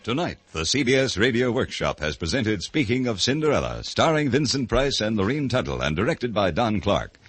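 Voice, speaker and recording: Lively low-voiced radio announcer man. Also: moderate pace, few pauses, soft background music. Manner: formal, polished. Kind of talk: scripted 1950s network announcing.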